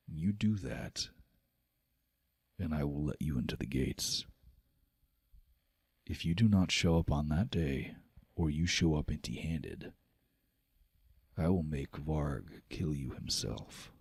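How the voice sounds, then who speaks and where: A man voicing a stern, imposing fantasy Jarl, speaking slow, weighty pronouncements in a tabletop game. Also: rumbling low, no echo, studio quality, heavily acted. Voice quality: gravelly, breathy at times. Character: stern, imposing male Jarl passing judgment in a fantasy hall. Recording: music behind the voice.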